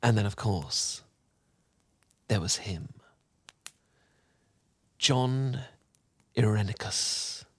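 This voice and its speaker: A man playing a charming, learned wizard who tells a bedtime story in a soft, velvety, theatrical and slightly pompous voice, in a soothing fan roleplay. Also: fairly low pitch, husky, exaggerated voice. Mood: hushed, dramatic. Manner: theatrical, eloquent, unhurried, gently pompous.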